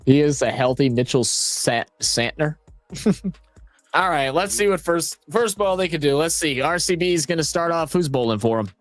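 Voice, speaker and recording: A man's voice: fairly high pitch, expressive, moderate pace, no echo, faint music behind. A man in his late twenties co-hosting a sports watch party, rambling in a laid-back way and chuckling often. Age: 20s. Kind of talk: casual banter stream.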